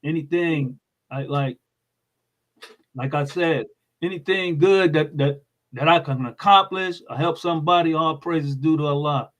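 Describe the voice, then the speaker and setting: A man's voice: fairly high pitch, a little slow. An American man speaking as a guest in an interview, explaining things in a plain-spoken, informal way. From United States.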